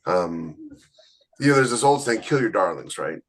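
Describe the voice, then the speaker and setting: Low-voiced male podcast co-host, blunt and emphatic, casual talk. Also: sing-song, normal speed, slight background noise. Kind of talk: casual chat.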